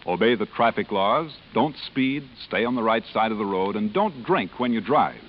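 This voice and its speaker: Animated male announcer, muffled old radio. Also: on the low side, moderate pace. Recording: muffled, faintly noisy old radio recording.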